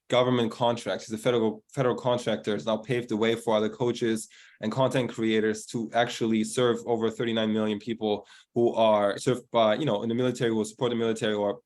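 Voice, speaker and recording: A man's voice: mid-range voice, darkish tone. A man hosting an online group coaching call, talking in a casual, upbeat way and hyping up his guests. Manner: upbeat, hyped-up introductions. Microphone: video call.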